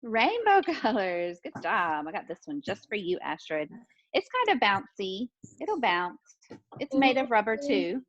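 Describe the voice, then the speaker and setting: A woman's voice: medium pitch, big pitch swings, unhurried, no room sound. A woman teaches a lesson to young children in an encouraging, questioning manner, often repeating what they answer. The recording is dull and muffled, with very little room sound. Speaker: pre-kindergarten teacher.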